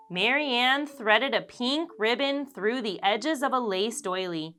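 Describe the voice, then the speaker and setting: A woman reads a children's picture book aloud with animated storytelling. Her bright voice moves slowly and deliberately, rising and falling expressively. Gentle marimba music plays faintly underneath. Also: on the high side.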